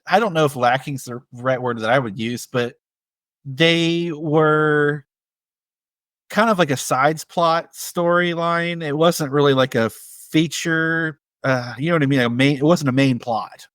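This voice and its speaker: A man co-hosting a casual film discussion, speaking with measured, tentative phrasing. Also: a little high, steady tone, a bit bright, no echo, clean studio sound. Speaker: podcast co-host.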